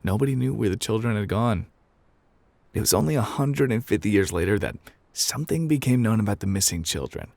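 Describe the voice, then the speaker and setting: A man acting as a gruff male game character reading a bedtime storybook. His voice sits low with a gravelly fry, and it swings expressively between offhand, unbothered lines and dramatic pauses. The sound is close and dry, made for ASMR. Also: lively intonation, a little mellow, no room sound. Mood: offhand, unhurried.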